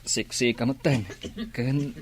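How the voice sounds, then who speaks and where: A man's voice: mid-range voice, fairly even intonation, takes it slowly, slight background noise, natural voice. An actor playing a flustered, awkward, remorseful village man in an Ilocano radio drama.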